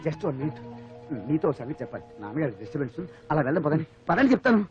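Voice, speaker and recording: A woman's voice: deep voice, big pitch swings, rapid-fire, muffled recording. An actress playing an anxious, scheming young woman in a Telugu comedy film. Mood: anxious, pleading. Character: anxious, scheming young woman covering up her brother's charade. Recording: film dialogue under clear music.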